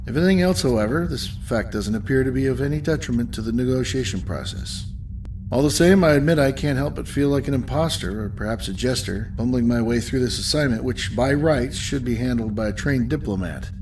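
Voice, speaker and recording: A starship captain played by a man in a sci-fi audio drama, measured and articulate, with an ordinary mid-range voice. He is stern and weary, and there is faint ambient noise behind him. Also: medium pitch, darkish tone, close to an everyday voice. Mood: reflective, weary. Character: stern, weary male starship captain, dryly self-doubting. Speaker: actor playing a captain. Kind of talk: science fiction audio drama acting.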